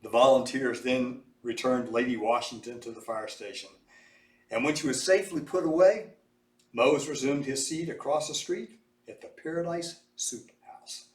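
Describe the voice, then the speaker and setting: A man reading a children's story aloud with animated, emphatic, dramatic delivery. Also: medium pitch, moderate pace. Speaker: storybook reader. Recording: echoey room, distant mic.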